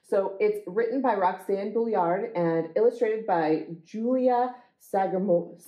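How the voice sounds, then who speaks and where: Woman, children's librarian, storybook read-aloud, unhurried pace, expressive deliberate delivery, medium pitch. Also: low-quality recording. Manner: deliberate, expressive, lyrical phrasing. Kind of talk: storytime picture-book reading.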